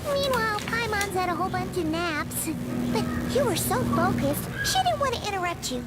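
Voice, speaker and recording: A woman's voice: very high voice, very animated, moderate pace, very noisy. A woman voicing a tiny, childlike female fairy companion in a video game, bubbly and cartoonish. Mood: cheerful. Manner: speaks of herself in third person, bubbly.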